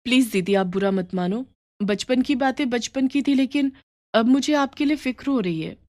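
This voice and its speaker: A gentle younger sister in a moral story, voiced by a woman narrator. She is earnest and pleading, full of concern. The recording is close and dry. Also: on the high side, normal speed, dry recording, pitched above the performer's own voice, natural voice. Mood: worried, pleading.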